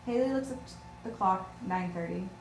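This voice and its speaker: A woman's voice: medium pitch, normal speed, soft background music. A woman reading scripted stage directions as narrator at a table read. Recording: echoey room with clear noise.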